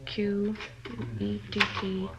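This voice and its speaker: An actress playing a blunt, slangy, plain-spoken American coffee-shop worker in a 1950s radio drama. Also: female voice, medium pitch, completely flat delivery, slow, dull audio, natural voice. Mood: offhand, busy. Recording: noisy old radio, typewriter clicking behind.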